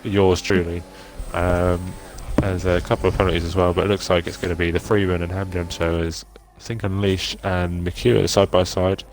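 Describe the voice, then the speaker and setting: Low-voiced male co-commentator, relaxed and jokey. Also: normal speed, hardly any pauses, noisy background. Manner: easygoing, joking, calls the race start. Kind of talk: live sim racing stream commentary.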